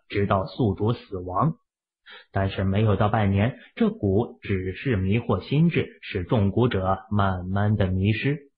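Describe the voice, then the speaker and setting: A man's voice: lowish voice, phone-quality sound. A man reading a story as a scripted, dramatic audiobook narration.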